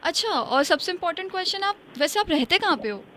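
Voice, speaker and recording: A man voicing a sly, forward young woman on a prank call, breathy and often whispering in a conspiratorial way. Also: very high-pitched, very animated, a loud crowd, voice pushed up. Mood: polite, coy. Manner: hurried, conspiratorial.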